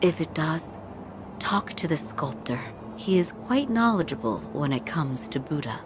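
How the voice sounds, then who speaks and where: An actress playing a calm, courteous woman in a video game, speaking in a measured, formal and polite way. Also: sits low, background noise. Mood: composed, polite. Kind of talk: scripted video game dialogue.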